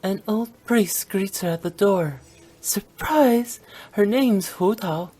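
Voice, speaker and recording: A woman's voice: medium pitch, slow, dark tone, slightly rough. A woman voicing a playful, teasing young woman director in a video game, with theatrical storytelling. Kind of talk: scripted video game voice acting.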